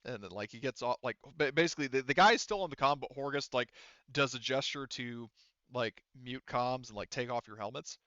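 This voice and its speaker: A man narrating a tabletop game as game master, improvising and piecing the story together haltingly. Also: mid-range voice, pushed and bright, no echo, lo-fi sound.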